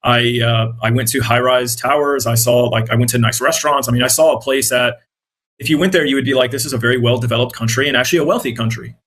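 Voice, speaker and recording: A man's voice: mid-range voice, fairly even intonation, fast, a bit bright, rough recording. American man giving earnest interview answers. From born in Iraq, grew up in the United States. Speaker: interview guest, independent journalist and content creator.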